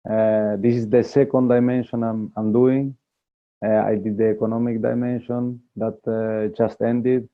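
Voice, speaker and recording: Man from Argentina, slow hesitant intro, phone-like sound. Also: medium pitch, little pitch movement. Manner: hesitant, many fillers. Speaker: course participant. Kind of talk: casual self-introduction on a group video call. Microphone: video call. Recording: phone-like call audio.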